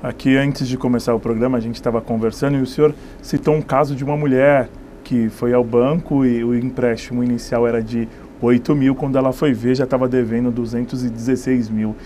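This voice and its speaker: Man, mid-pitched voice, TV host, interviewer, recaps answers, studio, faint noise. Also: flat delivery, fairly quick, hardly any pauses, dark tone. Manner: asks leading questions, sums up answers. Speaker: TV show host. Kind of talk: studio interview and show hosting.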